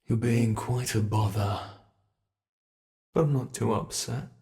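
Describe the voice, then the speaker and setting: A British man voicing a sadistic male monster from under the bed, speaking slowly and menacingly in an ASMR horror roleplay, often dropping to whispers. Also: medium pitch, creaky, warm tone. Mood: taunting. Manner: slow, taunting, menacing, drifting into whispers.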